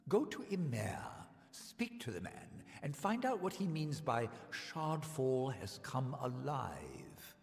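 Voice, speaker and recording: A man's voice: medium pitch, sing-song, slow pace, creaky, hardly any pauses, heavily acted. A man voicing a haughty senior scholar of magic in a fantasy game, pompous and condescending, with dry, precise wording. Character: haughty male senior scholar of an arcane order. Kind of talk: scripted game dialogue. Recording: long hall reverb, background music.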